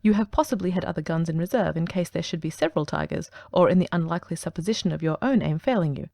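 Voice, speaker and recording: A woman playing a brisk, ironic detective. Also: on the low side, animated, vocal fry, mellow, no echo, clean studio sound, voice pushed down. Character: brilliant, coolly ironic male detective. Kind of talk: audiobook dramatic reading.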